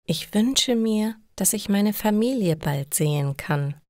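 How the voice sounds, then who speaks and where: Lady doing a quiet gentle husband from a storybook, kinda subdued, slow n careful. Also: mid-range voice, lively intonation, no echo, clean studio sound. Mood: wistful.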